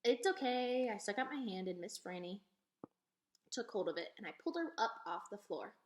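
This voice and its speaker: Woman, storybook read-aloud, animated intonation, mid pitch, echoey recording. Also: fairly quick. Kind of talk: expressive children's storybook narration, told as a young girl. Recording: echoey, roomy sound.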